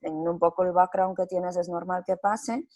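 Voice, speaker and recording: A woman with a Spanish accent from Spain speaks in a fairly low voice with a very soft, mellow tone. She talks casually and expressively, as a guest explaining things in a conversation. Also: level tone, normal speed. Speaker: interview guest, intercultural facilitator.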